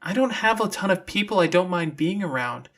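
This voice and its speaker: Narrator voicing a teasing, blunt teenage girl, forceful. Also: mid-range voice, expressive, fairly quick, voice pushed up. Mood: hurt, blunt.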